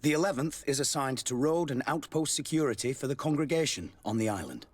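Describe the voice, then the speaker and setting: A man playing a deferential, evasive military officer in a video game, with a husky voice. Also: medium pitch, animated, a little fast, close to an everyday voice. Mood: formal. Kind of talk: video game dialogue acting.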